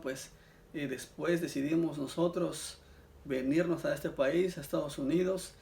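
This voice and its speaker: A man's voice: on the high side, gravelly, darkish tone. A man from Mexico with a Mexican accent, sharing his personal religious testimony earnestly and addressing listeners as brothers. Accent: Mexican Spanish.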